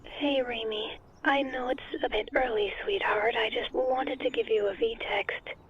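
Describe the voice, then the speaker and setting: Soft, high-voiced actress as a worried woman on phone. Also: fairly even intonation, measured pace. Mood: gentle concern. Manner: tender, worried. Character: soft-voiced concerned woman leaving a voice message. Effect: phone speaker filter. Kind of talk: audio drama acting. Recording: thin muffled phone-speaker sound.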